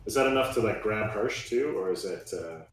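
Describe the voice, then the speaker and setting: A man's voice: on the low side, animated, brisk. Distant, very echoey man at game table. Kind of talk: casual game-table chat. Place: echoey room, away from the mic. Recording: very echoey room, distant mic, faint music.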